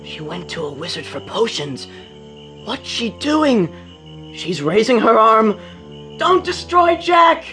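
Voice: medium pitch, natural voice, rough recording. An actor playing a mischievous young boy in a radio drama, eager and cheeky. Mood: nervous, watchful. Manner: eager, boyish, theatrical. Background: music.

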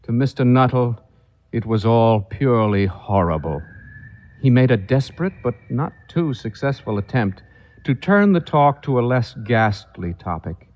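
A man narrates a ghost story dramatically, reading from a script in a medium-pitched voice. The sound is dull and muffled, like an old record. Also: darkish tone.

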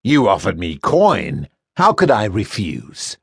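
Brief: cruel sneering sailor villain, read by a man, husky, dry close sound. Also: low-pitched, huge pitch swings, dry recording, full character voice. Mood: gloating. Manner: taunting, mocking, drawn-out menace. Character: sneering wolfish male first mate, cruel slaver. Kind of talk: audiobook character voice.

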